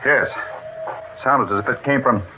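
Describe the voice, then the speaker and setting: An actor playing a brisk, terse army major in an old-time radio drama. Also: male voice, mid-range voice, noisy background, close to an everyday voice. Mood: tense, grim. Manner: terse, matter-of-fact. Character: brisk, blunt army major in charge of a camp. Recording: dull old radio recording.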